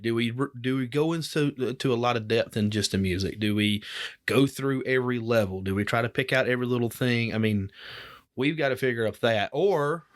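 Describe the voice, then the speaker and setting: A man chatting on a homemade podcast, rambling along with lots of restarts. His voice sits at an ordinary middle pitch. He talks in a loose, unscripted way. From Alabama. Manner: rambling, restarts often, chuckles. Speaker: podcast co-host. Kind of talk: casual podcast banter.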